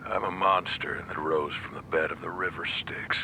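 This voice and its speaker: An actor playing a sardonic, rough-spoken gangster in a video game cutscene, often half-whispering. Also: male voice, deep voice, sharp tone, a little hiss or hum, heavily acted, poor audio. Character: sardonic gangster grieving on the phone. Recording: dialogue over game music.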